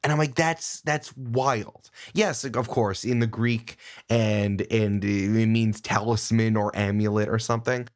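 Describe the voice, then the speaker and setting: A man talking casually as a podcast guest, opinionated and animated, often digressing as he argues his point. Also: on the low side, a little slow, hardly any pauses.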